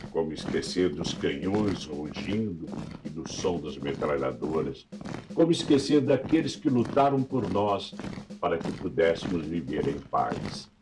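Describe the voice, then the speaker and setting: A man's voice: deep voice, background noise. A man with a Brazilian accent narrating a scripted documentary in a solemn, dramatic, rhetorical manner. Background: background music.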